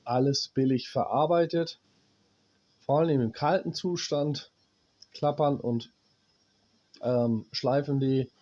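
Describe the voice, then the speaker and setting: A man's voice: mid-range voice, fairly even intonation, a little mellow. A man with a German accent and a cold explains a dryer repair bluntly, stopping now and then to check parts as he works. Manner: blunt, plain-spoken, swears at cheap gear; pauses while working, talks to himself as he checks parts. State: has a cold, coughing. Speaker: appliance repairman.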